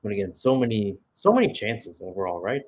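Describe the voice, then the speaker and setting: A man's voice: on the low side, big pitch swings, fairly quick, low-quality recording. A man giving live radio-style football commentary, switching between short clipped calls and conversational, analytical asides.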